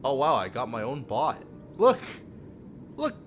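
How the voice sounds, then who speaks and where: A man's voice: mid-range voice, huge pitch swings, unhurried. A man livestreaming, reading a visual novel aloud with joking asides and stumbling over the text now and then. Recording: music playing behind the voice. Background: music.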